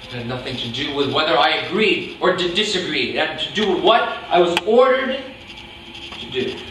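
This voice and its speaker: A man's voice: fairly high pitch, animated, moderate pace, bright, forceful tone. A young man playing a stubborn, evasive accused war criminal in a staged reading, speaking in a terse, defensive, controlled way. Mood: defensive, insistent. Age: young adult. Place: indoor room, table before a plain wall. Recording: echoey room, distant microphone, clear noise.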